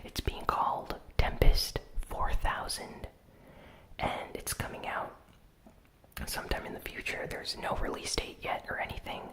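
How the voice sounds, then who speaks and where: A host speaking in a breathy, unvoiced whisper, softly recapping gaming news in a casual, measured ASMR style. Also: rough recording.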